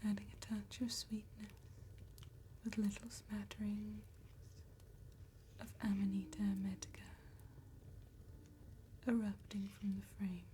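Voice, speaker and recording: Slow, whispering ASMR woman. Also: mid-range voice, flat delivery, a little hiss or hum. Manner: slow, gentle, deliberate enunciation with long pauses. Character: soft-spoken female vampire tattoo artist. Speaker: ASMR roleplay performer. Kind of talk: ASMR roleplay, whispered and soft-spoken.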